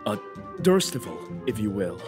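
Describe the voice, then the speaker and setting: A man playing an excitable, hot-tempered young prince in a comedic parody dub, devoted and theatrical. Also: fairly low pitch, moderate pace, music in the background. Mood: cheerful, eager. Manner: theatrical, foul-mouthed outbursts, earnest pleading.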